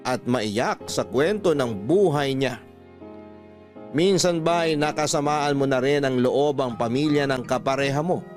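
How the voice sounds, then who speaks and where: A man with a Filipino accent narrating as a radio host, in a scripted, dramatic, measured storytelling style. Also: mid-range voice. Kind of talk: scripted dramatic narration, reading a listener's letter.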